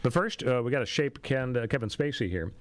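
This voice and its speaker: A man's voice: medium pitch, expressive, fairly quick, dry recording. A man with an American accent hosting a talk radio show in a casual, chatty style.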